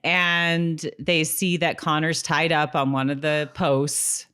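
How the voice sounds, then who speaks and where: A woman's voice: low-pitched, little pitch movement, slow, a bit bright, clean tone. A woman co-hosting a podcast, talking informally as she casually recaps a television episode. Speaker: podcast co-host.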